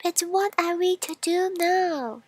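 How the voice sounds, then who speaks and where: A brave hot coal from a bedtime storybook, voiced by a woman. It speaks high and slowly, with a dramatic, declamatory manner. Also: darkish tone, no room sound. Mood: anxious.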